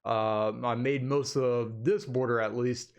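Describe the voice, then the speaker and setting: A man's voice: medium pitch, steady tone, measured pace. A man talking informally and directly to his viewers as the host of an online channel, in a casual webcam update recorded on a desktop microphone in an office. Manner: informal, addresses viewers as "guys" repeatedly. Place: indoor home office. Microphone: desktop USB condenser microphone.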